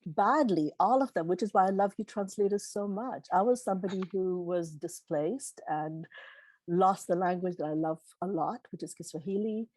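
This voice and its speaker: A woman's voice: fairly low pitch, moderate pace, darkish tone, no room sound, rough recording. A middle-aged woman whose first language is Gujarati, answering questions informally as a keynote speaker in an encouraging, earnest way. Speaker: keynote speaker, literary publisher.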